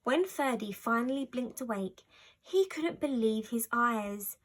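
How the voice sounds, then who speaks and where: High-voiced woman reading a storybook. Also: animated, unhurried. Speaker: storybook reader. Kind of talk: expressive scripted children's story narration.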